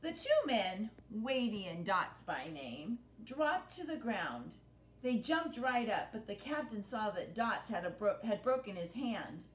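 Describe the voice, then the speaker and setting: A middle-aged woman reading a picture book aloud to children in an expressive, dramatic storytelling manner. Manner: dramatic, with pauses and gasps for effect. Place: living room by a brick fireplace, indoors. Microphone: camera a short distance away. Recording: roomy home recording with rumbling noise.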